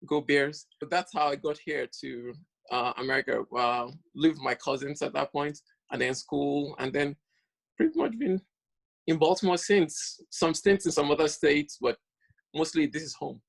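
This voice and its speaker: Gravelly man in his forties. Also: fairly high pitch, moderate pace, low-quality recording. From Nigeria. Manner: chatty, hesitant, many fillers. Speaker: guest. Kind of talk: casual video-call conversation.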